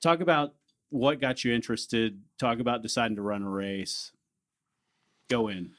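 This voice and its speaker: A man's voice: medium pitch, moderate pace, a bit bright. A man hosting a podcast, chatting casually and rambling with restarts as he brings on a guest. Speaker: podcast host. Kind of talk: casual interview chat.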